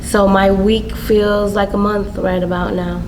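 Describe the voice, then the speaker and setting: A woman's voice: mid-range voice, measured pace. A woman from the Dominican Republic, telling her life story as a council candidate in an interview. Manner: earnest, steady storytelling. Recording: noisy background.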